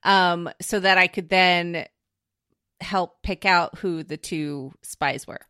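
A woman's voice: lowish voice, takes it slowly, pushed and bright, clear, resonant tone, dry recording, clean studio sound. A woman chatting casually as a panelist on a game show.

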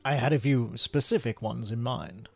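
Actor as a gravelly, dry British spy. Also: male voice, medium pitch, moderate pace, loud music behind the voice, close to an everyday voice. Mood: wry, probing. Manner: wry, understated, old-fashioned turns of phrase. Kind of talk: radio theater acting.

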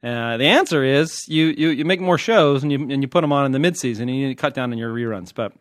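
A man's voice: medium pitch, lively intonation, fast talker, dry recording, studio quality. An American man hosting a podcast, answering listener questions in casual, unscripted chat with a wry, joking manner. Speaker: podcast host and TV critic.

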